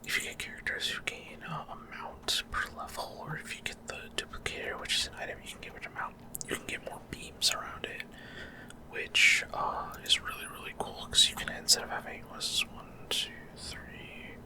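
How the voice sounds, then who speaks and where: Male ASMR gamer, fully whispered and breathy, hesitant, off-the-cuff commentary. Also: a little fast. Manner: casual, rambling, hesitant. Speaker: gaming ASMR creator.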